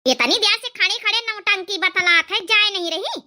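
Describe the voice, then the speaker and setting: A woman's voice: normal speed, pushed and bright, full character voice. A woman voicing a spiteful, grumbling middle-aged village mother-in-law with an Awadhi accent in a cartoon comedy. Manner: grumbling, shrill, theatrical. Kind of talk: cartoon comedy drama dialogue.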